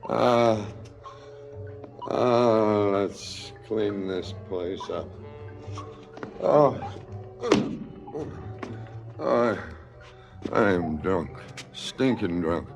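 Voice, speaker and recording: An actor playing a drunken, sardonic crooked cop in a theatrical radio drama, with a halting drawl. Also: male voice, lowish voice, faint music behind. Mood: drunk, bitter. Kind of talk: 1970s radio drama acting.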